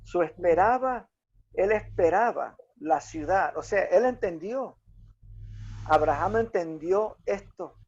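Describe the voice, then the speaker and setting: Halting man, English native, speaking Spanish. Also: high voice, expressive, a little mellow, husky, lo-fi sound. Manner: halting, searching for words. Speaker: Bible study participant. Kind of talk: casual Bible discussion.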